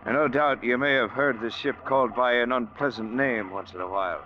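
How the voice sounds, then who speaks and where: An actor playing a stern, gruff ship's captain with a curt, formal, commanding manner in an old-time radio drama. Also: male voice, medium pitch, brisk, natural voice. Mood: stern authority. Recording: dull vintage radio recording.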